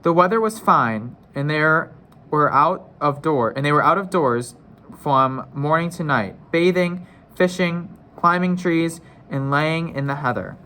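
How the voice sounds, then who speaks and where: A man's voice: a little high, measured pace, bright, forceful tone. Man reading a storybook aloud. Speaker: story reader. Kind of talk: read-aloud storybook narration.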